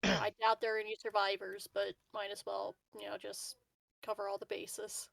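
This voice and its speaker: A woman's voice: medium pitch, monotone, fairly quick, vocal fry, a bit bright, dry recording, poor audio. A woman chatting casually as a player in a tabletop roleplaying game.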